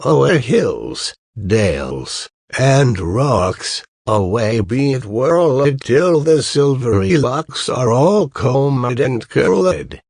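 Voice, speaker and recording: A man voicing a sorrowful young goose girl, a wronged princess who chants rhymes in drawn-out, incantatory verse. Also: medium pitch, big pitch swings, vocal fry, darkish tone, husky, no room sound, studio quality, heavily acted. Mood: chanting, commanding.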